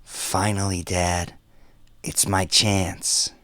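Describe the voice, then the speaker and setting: A man's voice: low voice, flat delivery, drawn-out words, sharp tone, no echo, studio quality, heavily acted. Man playing a wary young gangster, slangy. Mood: resigned, resolute. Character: young ambitious male gangster, wary and reluctant. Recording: music behind the voice.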